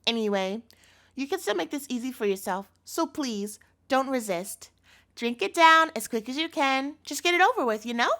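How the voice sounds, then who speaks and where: A woman's voice: high voice, expressive, normal speed, a bit bright. A woman playing an obsessive chef girlfriend in a scripted audio roleplay, sugary and coaxing with a threatening edge, speaking intimately to the listener. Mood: falsely cheerful. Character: obsessive yandere chef girlfriend, sweet but menacing.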